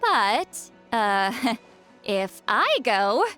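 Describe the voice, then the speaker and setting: A woman's voice: a little high, big pitch swings, very slow, fairly bright tone, full character voice. A woman playing a proud, grumpy, easily flustered great shaman in an anime game, with a haughty, huffy manner. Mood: smug, musing. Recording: background music under the voice.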